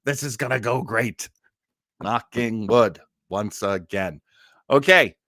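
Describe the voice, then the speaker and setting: A man hosts a casual livestream in a mid-pitched voice. He rambles along in a folksy, enthusiastic way, chatting straight to his viewers. The sound is close and dry, with very little room in it. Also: takes it slowly, fairly bright tone, no echo. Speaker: host of an online listening-party show. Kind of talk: casual livestream sign-off chat.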